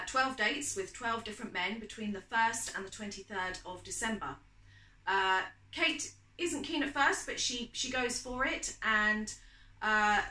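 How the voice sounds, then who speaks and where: A woman's voice: medium pitch, pushed and bright, echoey room, poor audio. A woman author reading romantic fiction aloud in a storytelling style. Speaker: author reading from own novel.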